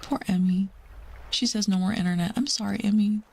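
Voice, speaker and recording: A woman with a mid-pitched voice, talking like a livestreamer to her chat. She is chatty and informal, wandering from one remark to the next and sometimes softening almost to a whisper. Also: a little fast, slightly rough. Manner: chatty, rambling, calls herself Mama. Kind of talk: casual livestream chat with viewers.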